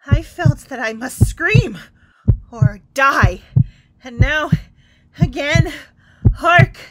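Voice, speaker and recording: A woman's voice: high-pitched, expressive, very slow, a bit bright, full character voice. A woman playing a guilt-haunted, unhinged murderer in a theatrical, intense audio drama monologue. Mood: screaming hysteria. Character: mad, guilt-haunted murderer, falsely calm then frenzied. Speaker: narrator of a horror tale.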